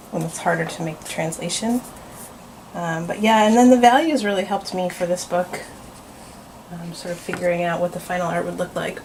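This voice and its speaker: A young adult woman, an author and illustrator, calmly explains her work while showing visitors around her art studio. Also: mid-range voice. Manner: unhurried, explanatory. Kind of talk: casual studio tour. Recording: noticeable background noise.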